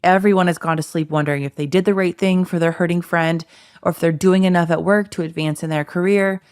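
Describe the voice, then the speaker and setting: A woman's voice: lowish voice, fairly even intonation, fast, a little mellow, clean tone, no room sound, studio quality. A woman in her thirties hosting a podcast in a casual chat, encouraging and asking open questions. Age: 30s. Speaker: podcast host.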